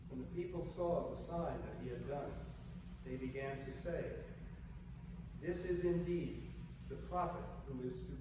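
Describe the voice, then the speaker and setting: Soft-spoken pastor echoing in a church. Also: male voice, on the high side. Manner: soft-spoken, gentle and measured. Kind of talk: scripture reading and sermon. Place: large old church sanctuary. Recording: echoey church hall from far off, noisy.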